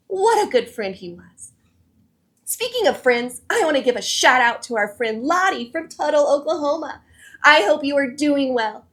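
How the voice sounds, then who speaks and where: A woman hosting a children's read-aloud show, addressing young viewers in a friendly, animated, encouraging way. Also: sits high. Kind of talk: scripted presenting to children.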